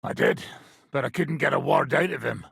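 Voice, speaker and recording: An actor playing a gruff, brash, middle-aged medieval mercenary nobleman in a video game, with a raspy, gravelly voice. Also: medium pitch, normal speed, fairly bright tone, dry recording, clean studio sound, heavily acted. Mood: sardonic, gruff. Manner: brash, sardonic, swearing.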